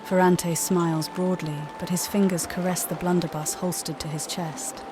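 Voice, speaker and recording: A woman's voice: lowish voice, flat delivery, moderate pace, noisy background. A woman reading scripted story narration for a video game playthrough. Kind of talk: scripted story narration with voiced player lines.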